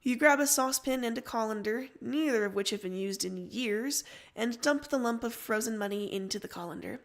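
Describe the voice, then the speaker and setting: A woman's voice: a little high, a little fast. A woman reading scripted story narration as the narrator.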